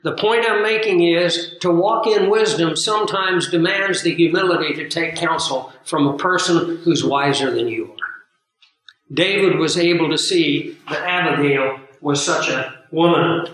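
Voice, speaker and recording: Hoarse preacher in a booming echoey room. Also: male voice, a bit bright. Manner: earnest, emphatic, unhurried teaching with pauses. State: hoarse, scratchy from flu. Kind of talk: sermon teaching with live audience. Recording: very echoey room, voice far from mic.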